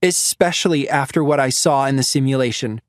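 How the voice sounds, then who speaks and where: A man's voice: medium pitch, fairly quick, no echo, clean studio sound, natural voice. A man voicing a blunt, defiant teenage girl who protects her younger brother.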